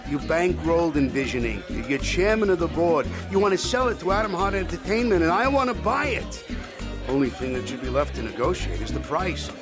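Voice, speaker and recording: An actor playing an older, brash show-business mogul in an audio drama, boastful and kibitzing with Yiddish slang. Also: male voice, on the high side, lively intonation, brisk. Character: brash old show-business movie mogul, wisecracking deal-maker. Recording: music playing behind the voice.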